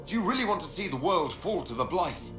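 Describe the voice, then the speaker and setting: A man's voice: a little high, sing-song, a little fast. An actor playing a resolute, blunt male hero in a fantasy game, speaking firmly and challengingly. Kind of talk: dramatic fantasy game dialogue. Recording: echoey, with music behind.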